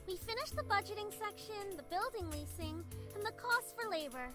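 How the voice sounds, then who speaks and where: A woman voicing a studious, prim teenage schoolgirl in a visual novel, proper and gently chiding. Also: very high voice, animated, normal speed, voice pushed up. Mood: businesslike. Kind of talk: scripted visual novel dialogue. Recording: music playing behind.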